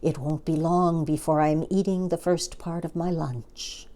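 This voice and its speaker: A woman's voice: deep voice, steady tone, unhurried, dark tone. A woman voicing an enormous male crocodile in a children's storybook, a greedy bully who gloats and schemes theatrically. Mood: sly, scheming. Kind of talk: children's storybook character reading.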